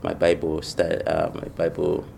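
A man from Malawi, a missionary church planter, answering interview questions in a thoughtful, unhurried way with many pauses. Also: rumbling low, monotone, gravelly, warm tone, slight background noise.

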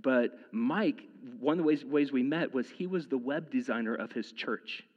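A man's voice: medium pitch, moderate pace, in a large hall, dull audio. A man in his thirties preaching a sermon in a casual, conversational way, often restarting his sentences and using fillers. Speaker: pastor.

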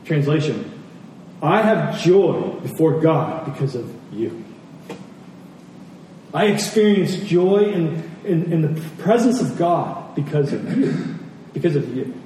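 Guy preaching in a big echoey hall, soft mellow tone, kinda earnest, bit of background noise. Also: on the high side, lively intonation, slow, long pauses. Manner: earnest, rhetorical questions, repeats phrases for emphasis. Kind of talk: sermon, conversational teaching with scripture readings. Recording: very echoey hall, distant mic, faint noise.

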